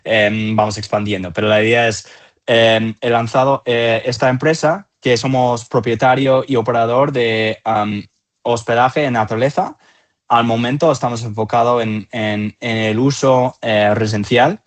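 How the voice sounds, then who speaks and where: A man in his thirties with an American accent and English as his first language, answering interview questions in halting phrases with repeated words. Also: medium pitch, fairly even intonation. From Atlanta, southeastern United States. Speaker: company founder and CEO, interview guest.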